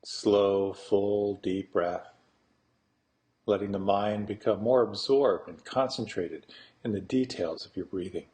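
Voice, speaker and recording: A man guiding a meditation as a teacher, speaking slowly and deliberately, with long pauses between phrases. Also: lowish voice, steady tone, warm tone. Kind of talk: guided meditation instruction.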